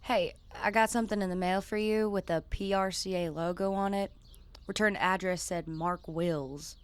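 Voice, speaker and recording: A woman's voice: on the low side, steady tone, normal speed, smooth, lightly acted. An actress playing a blunt, practical rural woman, in a plain-spoken radio drama style. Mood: dry. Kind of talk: naturalistic radio drama acting.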